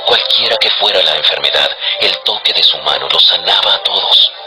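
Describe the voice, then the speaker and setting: A man with a deep, low voice reads scripted narration for a dramatized audio Bible. Music plays clearly underneath his storytelling. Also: a little fast, low-quality recording.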